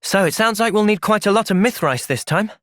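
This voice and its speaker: A man with a British accent playing a thoughtful, gentle young soldier in video game cutscenes, measured and earnest. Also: high-pitched, expressive, no room sound, studio quality. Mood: calm, earnest.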